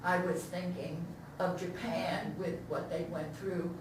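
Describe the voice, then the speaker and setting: A woman's voice: on the low side, level tone, a little slow, very echoey, background noise. A woman giving informal spoken feedback as an audience member at a talkback, picked up by a distant mic in a room. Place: indoor room at a staged reading talkback.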